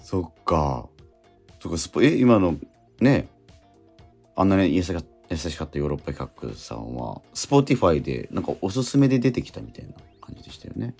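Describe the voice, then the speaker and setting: A low-voiced man chats casually as a radio co-host. His intonation swings widely as he reflects, halts and murmurs interjections. There is music behind him. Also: big pitch swings, creaky, a little mellow. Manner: reflective, halting, frequent interjections and murmured agreement. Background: background music.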